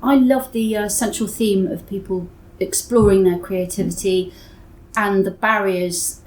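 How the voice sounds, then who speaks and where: A woman's voice: medium pitch, fairly even intonation, a little slow, darkish tone, poor audio. A woman hosting a literary podcast, introducing and questioning a guest. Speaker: podcast host. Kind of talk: scripted introduction and interview questions.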